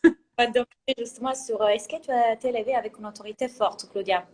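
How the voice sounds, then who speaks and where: Earnest non-native woman coach, slightly high. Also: steady tone, fast, slight background noise. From Italian family. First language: not French. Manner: earnest, emphatic, repeats for stress. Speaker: guest speaker, coach. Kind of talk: casual talk, motivational.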